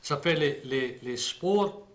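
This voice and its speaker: A man with a Louisiana Cajun French accent hosting an interview, halting and full of fillers. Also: a little high, expressive, a bit bright. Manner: halting, many fillers and restarts. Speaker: host. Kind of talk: broadcast interview.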